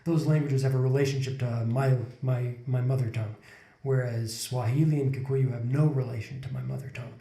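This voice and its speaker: A man in his forties talks through his thoughts on a podcast, circling back and restarting sentences as he goes. His voice is mellow and a little dark, and the indoor room adds a noticeable roomy echo around the desk mic. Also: mid-range voice, steady tone, fairly quick, creaky. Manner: reflective, rambling with many restarts. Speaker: podcast co-host. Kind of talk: casual conversation. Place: indoor room, sitting on a couch. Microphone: desk condenser mic in front of couple.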